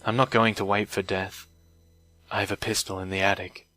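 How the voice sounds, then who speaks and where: A man reading a scripted creepypasta in a measured, deliberate way, recorded on an old microphone. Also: lowish voice, little pitch movement, sharp tone, no echo, poor audio. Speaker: narrator of horror stories. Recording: strange noise from old mic, music. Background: music.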